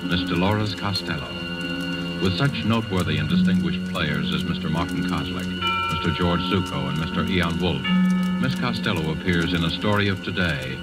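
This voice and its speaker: A low-voiced man announces a suspense show with formal, theatrical delivery. It sounds like a 1940s broadcast, with loud music under the voice. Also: normal speed, rough recording. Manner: formal, dramatic. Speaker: radio drama announcer and host. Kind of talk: 1940s radio announcing, scripted.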